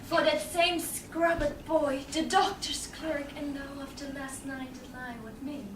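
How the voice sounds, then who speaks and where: A woman's voice: high-pitched, flat delivery, measured pace. A young woman playing a saucy lady's maid in a Shakespeare staged reading, playfully declaiming from a stage. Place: small theatre stage with audience. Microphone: far camera in the audience. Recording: roomy, distant, noisy theatre recording.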